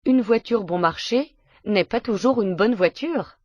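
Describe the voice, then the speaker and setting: A woman's voice: mid-range voice, a little slow, a little mellow, no echo, dull audio, natural voice. A woman acting as a polite everyday character in a scripted language-lesson dialogue. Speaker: dialogue actor.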